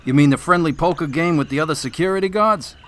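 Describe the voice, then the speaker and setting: An actor playing a gruff, skeptical police officer with a weary, unimpressed manner in a radio drama. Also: male voice, fairly high pitch, quick pace, a bit bright, natural voice.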